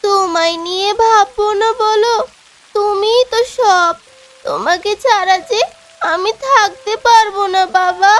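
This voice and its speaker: Woman acting a very high-pitched little girl. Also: a little slow. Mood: worried, loving. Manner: plaintive, childlike. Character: sweet little village daughter, devoted to her father. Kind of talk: Bengali fairy-tale cartoon dubbing.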